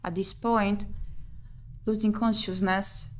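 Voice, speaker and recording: A woman streaming a visual novel playthrough, reading the text aloud and thinking out loud as she plays. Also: medium pitch, level tone, slow, slight background noise. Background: game music.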